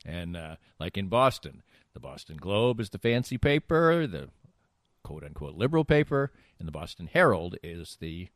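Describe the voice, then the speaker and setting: A man's voice: sits low, big pitch swings, normal speed, no room sound, clean studio sound. A middle-aged man co-hosting a podcast, explaining things in loose, rambling casual sports chat. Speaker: podcast co-host.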